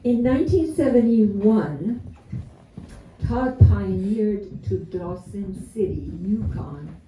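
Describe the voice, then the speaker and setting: A man's voice: high-pitched, warm tone. A man in his seventies, from the United States, reading a prepared introduction of a speaker with dry humor at a community gathering. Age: 70s. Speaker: event host introducing a speaker. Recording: roomy, distant mic with faint noise.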